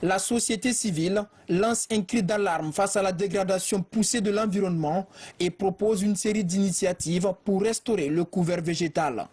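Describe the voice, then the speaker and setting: High, husky male news reporter. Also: normal speed. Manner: steady, formal reading. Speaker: field reporter.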